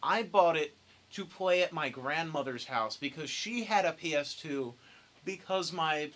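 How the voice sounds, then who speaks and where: A man's voice: a little high, measured pace, a bit bright. A young man chatting casually and emphatically as a panelist in a gaming discussion, on an amateur podcast recording. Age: young adult.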